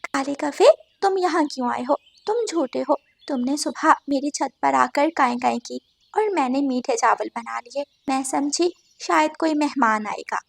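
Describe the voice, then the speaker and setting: A woman's voice: high voice, measured pace, creaky, no room sound. A woman voicing a homely, doubtful mother songbird in a cartoon. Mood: reproachful. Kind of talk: Hindi cartoon story dialogue.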